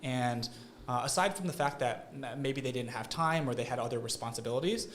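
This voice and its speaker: An American man talks through his answers in a relaxed interview, his voice sitting in a middle register. He leans on fillers and checks in with "right?" as he goes. A faint hiss of background noise sits under the recording. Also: medium pitch, fairly quick. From United States. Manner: thoughtful, frequent fillers and "right?" tags. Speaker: guest. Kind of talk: conversational interview.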